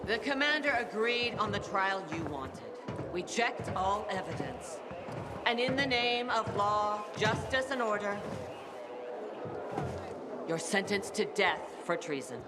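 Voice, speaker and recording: An actor playing a stern officer formally declaiming charges and judgment in a video game. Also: male voice, high-pitched, expressive, measured pace, over loud music. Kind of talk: video game cutscene acting.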